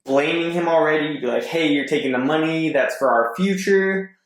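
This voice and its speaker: Guy on a podcast, voice a bit high, roomy sound, kinda stumbly reading and chatting. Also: normal speed. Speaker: podcast guest. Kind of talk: reading forum posts aloud and casual discussion. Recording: roomy echo.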